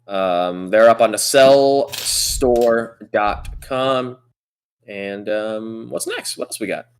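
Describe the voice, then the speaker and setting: A man's voice: lowish voice, takes it slowly, slight background noise. A man hosting a podcast in casual chat, talking in laid-back slang. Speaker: podcast host.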